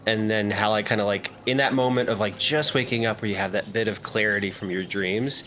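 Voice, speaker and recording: A man's voice: lowish voice, steady tone, brisk, pushed and bright, a little hiss or hum. A man playing a tabletop role-playing game, improvising in-character talk and narration among friends.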